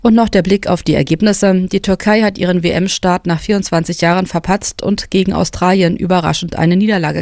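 A man reading a radio news bulletin and the weather in a steady, even delivery. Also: sits high, creaky, no room sound, clean studio sound.

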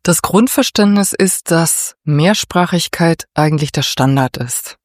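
A middle-aged woman from Berlin, head of a cultural office, answering interview questions in a thoughtful, measured way. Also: deep voice, no room sound, studio quality.

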